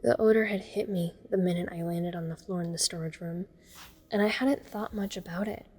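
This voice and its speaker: A woman's voice: on the low side, steady tone, fairly quick, a little mellow, slightly rough. A woman playing a teenage girl who narrates her horror ordeal in the first person, observant and uneasy. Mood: uneasy, watchful. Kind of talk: first-person dramatic audio story narration.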